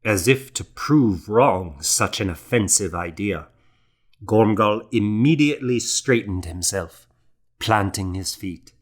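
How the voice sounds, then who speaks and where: A man narrating a historical novel as an audiobook in a measured, deliberate, hushed manner. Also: fairly low pitch, animated.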